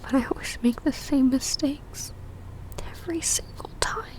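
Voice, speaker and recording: A woman playing a possessive, lovesick female vampire in an ASMR roleplay, whispering breathily in a halting, pleading and tender way. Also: high-pitched, a little mellow, natural voice. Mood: tearful, apologetic.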